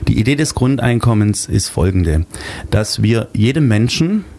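A man being interviewed as a guest in a radio studio, explaining things in a calm, precise way. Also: fairly low pitch, moderate pace. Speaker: studio guest, local activist. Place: small padded radio studio.

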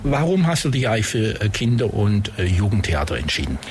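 A man's voice: lowish voice, very animated, a little fast, slight background noise. A man in his sixties hosting an interview, asking questions in a hesitant, friendly way with many fillers. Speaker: radio host.

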